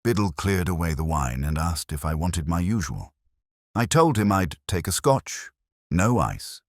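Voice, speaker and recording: A man playing a brooding, erudite middle-aged detective, narrating an audio drama in measured, reflective, literary phrases. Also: sits low, big pitch swings, dry recording, clean studio sound, exaggerated voice. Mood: brooding, wistful. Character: brooding, erudite male English police detective, melancholy, observant.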